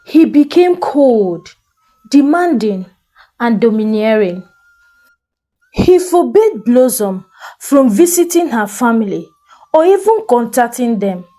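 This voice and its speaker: A woman storyteller with a fairly high voice. She narrates a folktale slowly and deliberately, with lively swings of pitch and a soft, mellow tone. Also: poor audio. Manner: slow, expressive, deliberate storytelling. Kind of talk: dramatic scripted folktale narration.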